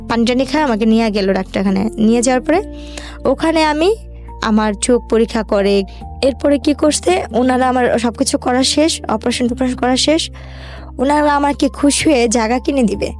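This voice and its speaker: A young woman with a Bangladeshi accent and a fairly high voice tells a personal story as an interview guest. She talks colloquially, chaining events and quoted exchanges together. Faint music plays behind her. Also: brisk, a little mellow. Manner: colloquial, run-on narration with quoted dialogue.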